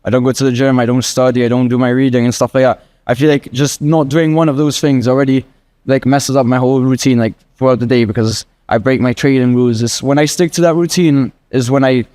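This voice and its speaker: A young man in his early twenties answers questions with earnest, rambling sincerity, leaning on filler words as he thinks aloud. His voice sits at an ordinary pitch with a mellow, warm tone. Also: fairly even intonation, quick pace. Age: 20s. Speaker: guest trader. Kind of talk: casual interview answers.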